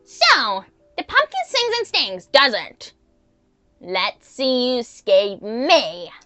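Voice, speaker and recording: A woman's voice: fairly high pitch, big pitch swings, slow pace, pushed and bright, dry recording, faint music behind, voice pushed up, exaggerated voice. A woman voicing a proud, suspicious, menacing male tiger in a folktale.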